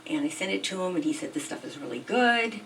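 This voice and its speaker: Low gravelly woman, soft and chatty. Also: expressive, fast. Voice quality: soft sweet voice. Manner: chatty, rambling storyteller with frequent ums. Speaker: singer-songwriter guest. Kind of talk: casual interview chat. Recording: distant mic with faint background noise.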